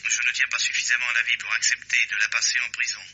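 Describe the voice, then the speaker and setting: A man's voice: mid-range voice, little pitch movement, rapid-fire, sharp tone, husky, poor audio. An actor playing an anxious man appealing to the police, hesitant and worried, in a radio mystery drama. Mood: anxious, subdued. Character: anxious man pleading with police about his friend.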